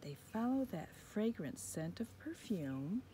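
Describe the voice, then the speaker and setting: A woman's voice: mid-range voice, measured pace. A woman reading a children's picture book aloud outdoors, expressively, as a teacher would to young children. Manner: expressive, addressing young children, with whispered asides. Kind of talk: children's story read-aloud. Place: outdoors in a front yard under a tree. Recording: outdoor recording with birds and ambient noise.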